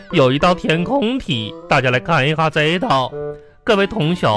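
A woman playing an exasperated, mocking primary school teacher with drawn-out, sing-song endings in a comedy radio play. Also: low voice.

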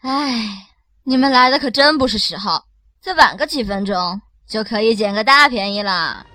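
A woman's voice: fairly high pitch, lively intonation, moderate pace, sharp tone, no room sound. An actress voicing a spoiled, demanding young witch who is a demon's fiancée, pouty and bossy, in an amateur radio drama. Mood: scornful. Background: background music.

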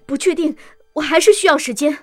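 A woman plays a young female scientist in a sci-fi radio drama. Her voice is high, and she speaks with earnest, pleading urgency over faint music. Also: a little fast, natural voice. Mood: pleading, frustrated. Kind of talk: science fiction audio drama acting.

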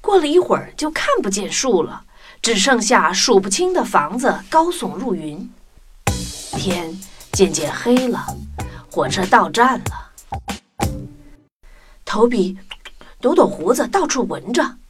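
A woman narrating a scripted children's story in an expressive storytelling manner. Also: medium pitch, unhurried, long pauses. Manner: expressive storytelling with sound imitations. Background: music.

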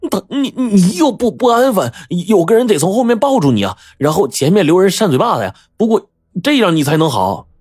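A storyteller performs a nervous, sly young man in an adventure audiobook. He stammers and wheedles, his husky voice pushed high and jumping about. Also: very animated, quick pace, warm tone, dry recording, exaggerated voice. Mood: panicked stammer.

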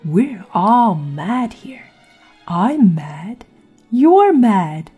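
A sly storybook cat, with a very husky, breathy voice. The delivery is extremely slow and swooping, a deliberate drawl full of calm madness and riddles. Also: female voice, mid-range voice, soft background music, heavily acted. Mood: slyly amused. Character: sly, riddling grinning cat, calmly mad. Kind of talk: audiobook character acting.

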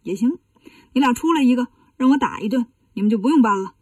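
A woman's voice: fairly high pitch, expressive, fast talker, a little mellow, breathy edge, dry recording, clean studio sound, recorded from a distance. A woman voicing a brash, bossy monkey king with a blunt, cocky manner in a storybook tale. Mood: cocky, commanding.

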